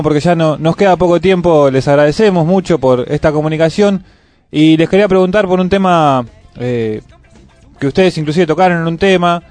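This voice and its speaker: An Argentine man hosts a casual radio show. His voice sits a little high, and he talks in a conversational interview style over faint background music. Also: darkish tone.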